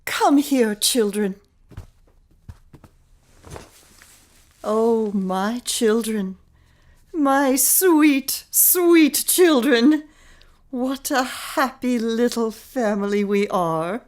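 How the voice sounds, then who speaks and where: A woman's voice: fairly high pitch, drawn-out words, darkish tone. A woman playing a wronged, slightly mad mother in a horror melodrama, speaking with theatrical, menacing deliberation. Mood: tender, madly happy. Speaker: actress in an audio drama. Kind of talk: melodramatic horror radio play acting.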